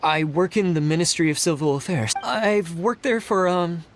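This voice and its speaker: An actor playing a timid, meticulous civil servant in a video game, hesitant, halting and over-precise as he speaks. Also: a little high, slight background noise, lightly acted. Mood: nervous, hesitant.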